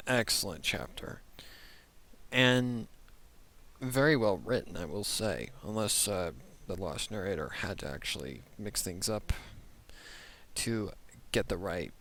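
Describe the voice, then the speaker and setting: A man hosting a reaction video with casual, off-the-cuff commentary, haltingly reworking his phrases as he goes. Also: lowish voice, expressive, fairly bright tone.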